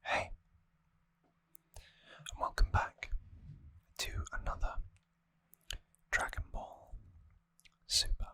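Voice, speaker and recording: An adult ASMR host speaks entirely in a breathy, sharp-edged whisper. The delivery is very slow and gentle, rambling with hesitant pauses. It is captured close to the microphone in a dry space. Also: no room sound.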